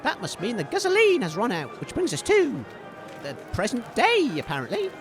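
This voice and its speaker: A man acts as a chipper, excitable British radio host in a comedy drama. His voice is very high and swoops all over as he commentates earnestly and gently chides. Music plays clearly underneath. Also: normal speed, exaggerated voice. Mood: explaining, chiding. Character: cheerful naive male radio host, gently chiding his co-host. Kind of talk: comedy audio drama, mock live event commentary.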